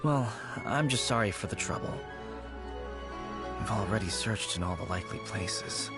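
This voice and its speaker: A man's voice: lowish voice, expressive, moderate pace, over loud music, natural voice. A man voicing an anxious, mournful, haunted man in a video game, speaking in a hesitant, wistful way.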